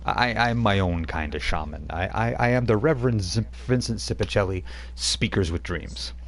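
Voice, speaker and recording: A man voicing a brash New York wise guy who calls himself a shaman, with a Brooklyn accent and a pushy, hurried street manner. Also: lowish voice, slight background noise, close to an everyday voice.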